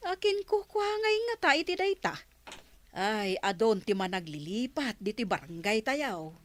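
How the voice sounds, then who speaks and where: A woman's voice: on the high side, big pitch swings, a little slow. A woman with an Ilocano accent playing a cheeky, gossipy village shopkeeper in a comic audio drama.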